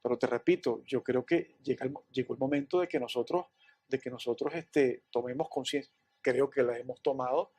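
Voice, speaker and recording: A man with a Venezuelan accent answering interview questions in an earnest, halting way, often repeating words. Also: mid-range voice, a little mellow, husky. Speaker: interview guest, businessman.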